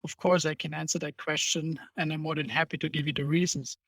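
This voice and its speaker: A man's voice: on the high side, flat delivery, fairly quick, gravelly, fairly bright tone, dry recording. A middle-aged man from Germany with a German accent, a podcast guest explaining his consulting work in an earnest, conversational way. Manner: earnest, explanatory, with small restarts. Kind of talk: conversational interview answers.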